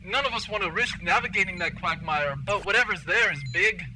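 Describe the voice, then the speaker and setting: A man's voice: sits high, huge pitch swings, a little fast, gravelly, pushed and bright, a little hiss or hum, full character voice, low-quality recording. A man voicing a grateful, folksy frontier townsman who speaks formally and effusively.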